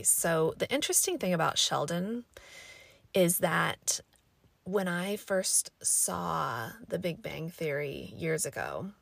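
A young woman talks through a casual solo podcast, her voice hoarse and scratchy from allergies. She speaks low with a gravelly fry, thinking aloud and rambling with plenty of fillers. The sound is dry and close, with no room around it. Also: no room sound. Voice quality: raspy, scratchy voice. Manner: thoughtful, rambling, many fillers. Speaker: podcast host.

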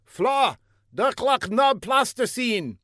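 A man's voice: very high-pitched, lively intonation, slow, fairly bright tone, no echo, studio quality, pitched above the performer's own voice, exaggerated voice. A man voicing a pompous, demanding older bearded secret agent who bellows in stilted, broken English. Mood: bellowing.

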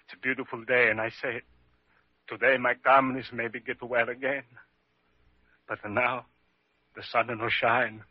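An actor playing a humble, devoted Italian immigrant husband in grief, speaking halting, emotional broken English with an Italian accent in an old radio drama. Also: male voice, mid-range voice, flat delivery, no echo, natural voice. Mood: grieving, heartbroken. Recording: muffled, husky old radio recording.

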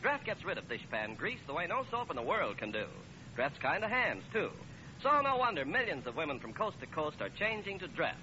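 A man's voice: on the high side, big pitch swings, brisk, sharp tone. A man working as a 1940s radio announcer, reading scripted sales copy and narration. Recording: muffled, noisy old radio broadcast recording.

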